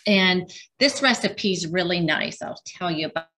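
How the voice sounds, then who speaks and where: A woman's voice: lowish voice, moderate pace, pushed and bright, a little hiss or hum. A woman teaching a casual cooking demonstration, chatty and rambling with frequent ums and restarts. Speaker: dietitian cooking instructor.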